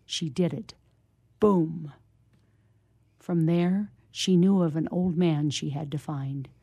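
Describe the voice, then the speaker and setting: A woman guest reading a short story aloud in a measured narrative style. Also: low voice, fairly even intonation, creaky, dark tone. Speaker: guest reader.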